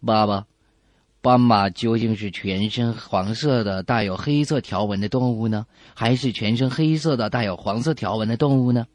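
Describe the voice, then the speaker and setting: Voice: mid-range voice, moderate pace, darkish tone, slight background noise, voice pushed down, natural voice. A performer voicing an innocent, mischievous young boy in a comedy radio skit, eager and childlike. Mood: earnest. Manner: childlike, eager questioning and boasting.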